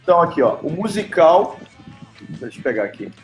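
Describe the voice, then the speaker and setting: A man's voice: a little high, big pitch swings, brisk. A Brazilian man hosting a podcast, talking casually and informally in debate. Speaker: podcast host. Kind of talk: casual debate, reading out news. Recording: roomy echo, faint music behind.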